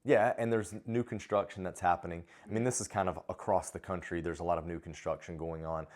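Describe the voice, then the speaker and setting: A young man co-hosting an expat travel vlog, talking in a relaxed, rambling way with fillers and restarts. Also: low voice, brisk. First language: English. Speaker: co-host of expat travel channel. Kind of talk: casual conversational chat.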